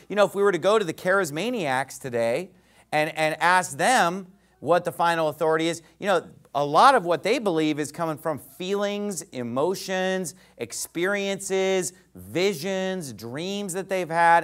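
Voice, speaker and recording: A pastor preaching an emphatic sermon in a church, asking the congregation rhetorical questions. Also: male voice, on the high side, expressive, normal speed, fairly bright tone.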